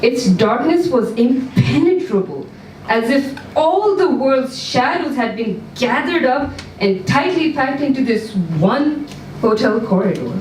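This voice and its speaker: A woman's voice: high-pitched, animated, a little slow, darkish tone. Woman reading a story, distant and echoey. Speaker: story reader. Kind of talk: live dramatic short story reading. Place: indoor event room, live reading. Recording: roomy, distant mic, background noise, live venue.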